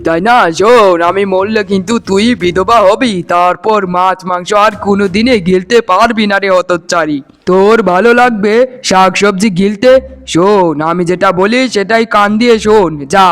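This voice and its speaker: A man's voice: high-pitched, moderate pace, a bit bright. A man voicing a rich husband in a melodramatic cartoon, speaking in an overwrought way and repeating words for emphasis. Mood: scornful, threatening. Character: greedy, arrogant rich husband and younger brother. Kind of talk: melodramatic Bengali cartoon dialogue.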